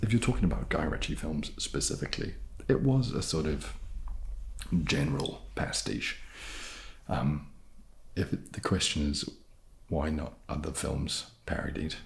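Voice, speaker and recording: A man answering questions in a soft-spoken, casual, laid-back way with dry humour. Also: very deep voice, measured pace, vocal fry. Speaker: ASMR video creator answering fan questions.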